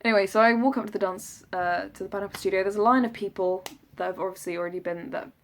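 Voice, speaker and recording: A woman's voice: medium pitch, steady tone, rapid-fire, slight background noise. A woman in her twenties with a southern English accent, chatting casually to camera in a rambling, self-deprecating, jokey way. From south of England. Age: 20s. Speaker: vlogger recounting an audition. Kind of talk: casual rambling vlog chat.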